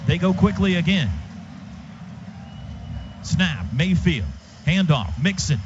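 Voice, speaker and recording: A high-voiced man does live radio play-by-play of a football game. He calls it in short, urgent bursts. The feed is dull, with clear stadium noise behind him. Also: very animated, slow pace. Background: stadium ambience.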